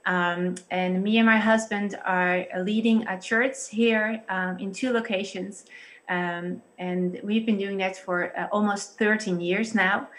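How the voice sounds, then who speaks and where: A woman in her thirties with a Dutch accent, a pastor and psychologist explaining things carefully and haltingly over a video call. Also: medium pitch, steady tone. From Netherlands. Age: 30s.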